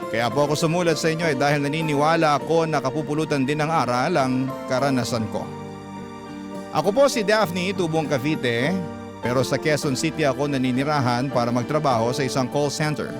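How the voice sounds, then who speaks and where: A man's voice: fairly high pitch, brisk. A man with a Filipino accent hosting a radio show, narrating a listener's letter as a dramatic story. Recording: music bed under the voice. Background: background music.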